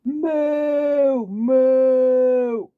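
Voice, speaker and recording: A woman's voice: high voice, fairly even intonation, very slow, phone-quality sound, pitched above the performer's own voice. A woman voicing a goofy, cocky, exuberant skinny man in a cow costume, brash and exclamatory, for cartoon fan fiction. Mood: gleeful. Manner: brash, exclamatory, prone to yelling.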